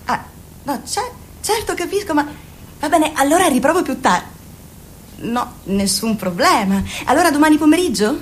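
Fussy middle-aged radio-play mother, nagging and sugary, lively swooping pitch, light hiss behind. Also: normal speed. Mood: sugary, flustered. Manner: nagging, pushy, overly sweet. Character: fussy, chatty middle-aged mother selling lipsticks by phone.

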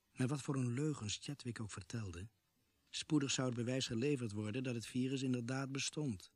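An actor playing a sceptical, matter-of-fact investigator who narrates a Dutch radio drama in a measured, husky voice. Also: male voice, mid-range voice, dry recording.

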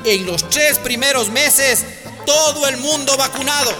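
An actor playing an earnest, rousing candidate making a campaign speech in a radio drama. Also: male voice, very high voice, a little slow. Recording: long reverb, loud music behind.

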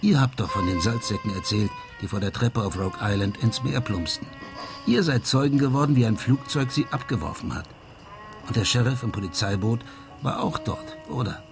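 An actor in a radio crime drama playing an amateur sleuth who reasons aloud in a didactic, questioning way. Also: male voice, mid-range voice, expressive, moderate pace, creaky, music in the background. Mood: explaining.

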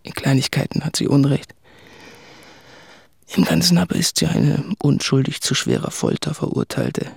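An anguished, husky, creaky-voiced writer. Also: male voice, a little high, moderate pace, heavily acted. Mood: bleak, confessional. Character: anguished, guilt-ridden male writer addressing his fiancée. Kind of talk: literary radio play monologue from letters and diaries.

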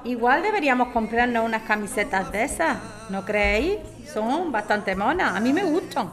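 A woman's voice: fairly high pitch, animated, moderate pace, soft background music, natural voice. A woman playing an affectionate, lonely older mother in a naturalistic audio drama. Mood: cheerful, reassuring.

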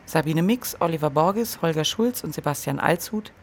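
Mid-pitched announcer over faint noise. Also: expressive, moderate pace. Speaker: radio drama announcer. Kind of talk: scripted credits reading.

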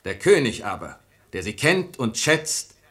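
An actor playing a stern royal officer with an official, commanding manner in a verse radio play. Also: male voice, mid-range voice, big pitch swings, a little slow, fairly bright tone. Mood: solemn, reassuring. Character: stern, authoritative royal officer, magnanimous to the innocent.

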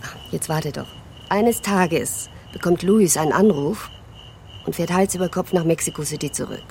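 A woman's voice: on the low side, animated, moderate pace, vocal fry, darkish tone, slight background noise. An actress playing a frank, wry, teasing woman confiding in an old friend in a German radio drama.

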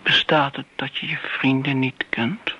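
A woman's voice: a bass voice, flat delivery, measured pace, bright, forceful tone, slight background noise, full character voice. An actress playing a wounded, possessive older woman in a radio play, speaking in a grave and wistful way, like a second mother. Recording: muffled old radio recording.

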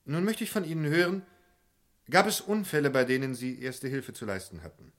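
A man's voice: medium pitch, fairly quick, a bit bright, close to an everyday voice. A man playing a formal, insistent courtroom lawyer who questions precisely, in a German radio crime play. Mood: formal, probing.

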